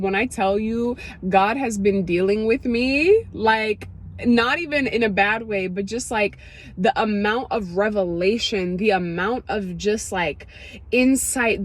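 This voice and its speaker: A young woman from Ethiopia, talking to camera in a casual, emotional way, full of fillers and quick asides as she gets excited. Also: medium pitch. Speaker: vlogger.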